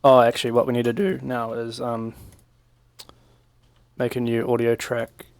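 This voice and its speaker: A young man giving a casual, informal software tutorial, talking through each step in a laid-back, slangy way at a desk microphone. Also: mid-range voice, little pitch movement, moderate pace, over loud music. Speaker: music production tutorial presenter. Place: small home room, indoors. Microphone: desk microphone on a boom arm.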